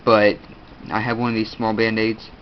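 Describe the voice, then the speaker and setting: Stuffy teen boy, lowish voice, halting and full of ums, muffled lo-fi recording. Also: monotone. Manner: rambling, halting, many fillers. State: stuffed-up nose, sniffing. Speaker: video host. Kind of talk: casual gear show-and-tell. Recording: dull lo-fi audio, faint room noise.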